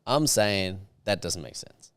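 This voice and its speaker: A man's voice: low-pitched, animated, takes it slowly, fairly bright tone, clean tone, no echo. A man hosting a podcast in a casual, blunt way, swearing freely and pressing his point with repeated "you know what I mean". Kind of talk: casual conversation.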